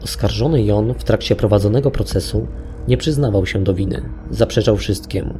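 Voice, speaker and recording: Mellow male narrator over music. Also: on the low side. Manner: measured, literary storytelling delivery. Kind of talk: scripted storytelling narration of an audio documentary.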